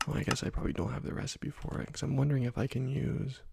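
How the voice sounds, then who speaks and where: A young man softly whispering slow, relaxed commentary while playing a video game, close to a desk microphone. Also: mid-range voice, creaky, slightly rough, dry recording. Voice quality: soft whispering, often unvoiced. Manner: slow, relaxed rambling between quiet pauses. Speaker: let's play host. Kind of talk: ASMR gameplay commentary. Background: faint keyboard and mouse clicks.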